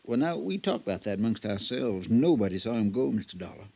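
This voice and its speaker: A man's voice: fairly low pitch, animated, fairly quick, creaky. A man playing a loyal, worried stable hand in a 1950s radio drama, speaking in a folksy, hesitant, respectful way. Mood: respectful, uneasy. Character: deferential, plain-spoken male stable hand, loyal and worried. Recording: dull, muffled vintage radio recording.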